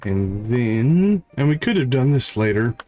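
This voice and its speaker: A man's voice: mid-range voice, very animated, measured pace, warm tone, faint music behind. A man hosting a live game stream, thinking aloud in short casual fragments and chatting to viewers, with a slight catch in his throat. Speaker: livestream host, gamer.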